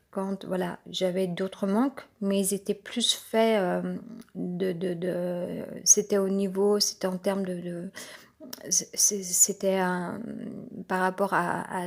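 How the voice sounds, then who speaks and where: A woman's voice: medium pitch, gravelly. A woman with a head cold talks on her own, her voice a little congested. She rambles, stops often with fillers and restarts her sentences. The tone is personal and unscripted. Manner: halting, many fillers and restarts. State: has a cold.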